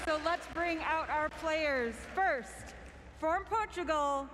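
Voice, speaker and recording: A woman's voice: high voice, takes it slowly, noisy background. A woman hosting on stage, announcing a live event. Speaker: stage host. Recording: music and crowd behind her. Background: music and crowd.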